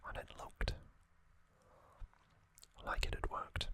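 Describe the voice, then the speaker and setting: A man's voice: slow pace, bright, forceful tone. A man whispering in ASMR style as he recounts trivia, hesitant and full of ums and uhs. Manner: hesitant, self-correcting delivery. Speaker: ASMR creator.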